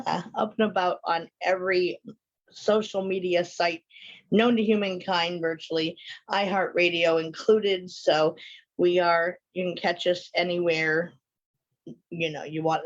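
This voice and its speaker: A woman's voice: lowish voice, muffled recording. A woman hosting a live online show in casual, rambling, chatty talk, sounding sinusy and sniffly from allergies. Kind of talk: casual livestream chat with viewers.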